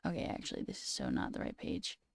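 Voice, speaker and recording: A woman's voice: fast talker, no echo. A young woman with a slight sore throat, casually chatting on a live coding stream, reading chat aloud and muttering at her code. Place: small room indoors.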